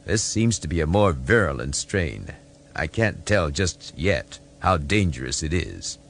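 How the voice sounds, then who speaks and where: A man playing a brisk, concerned ship's doctor in an audiobook, matter-of-fact and urgent. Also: a bass voice, big pitch swings, creaky, a little hiss or hum, full character voice. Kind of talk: audiobook character voice.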